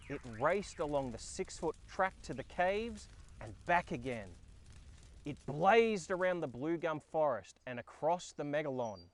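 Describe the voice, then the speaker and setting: An Australian man narrating a children's storybook in a dramatic, expressive way. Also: fairly high pitch. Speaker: storyteller and host. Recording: background ambience and effects noise. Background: sound effects and ambience.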